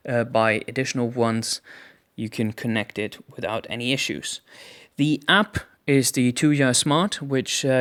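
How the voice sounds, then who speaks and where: A man narrating an unboxing product review in a measured, explanatory way, phrase by phrase. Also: mid-range voice, clean tone. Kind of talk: unboxing review narration over hand-held footage.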